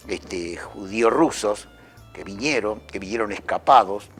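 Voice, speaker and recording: A man giving an earnest interview answer, repeating phrases for emphasis. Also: mid-range voice.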